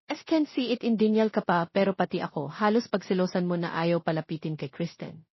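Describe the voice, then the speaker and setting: A woman's voice: fairly low pitch, a little fast, husky. Lady doing cheeky playboy best friend guy, playful, story audio, bit echoey. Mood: teasing. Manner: teasing, playful Taglish banter. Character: teasing playboy young man, rich man's cheeky friend. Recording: muffled lo-fi, roomy echo.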